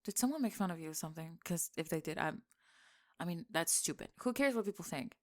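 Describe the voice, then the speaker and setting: A young woman playing a teasing, sarcastic tomboy gamer friend in an acted ASMR roleplay, with expressive sighs and laughter. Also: on the low side, quick pace, close to an everyday voice. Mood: flustered, defensive. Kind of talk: acted ASMR roleplay, one-sided conversation.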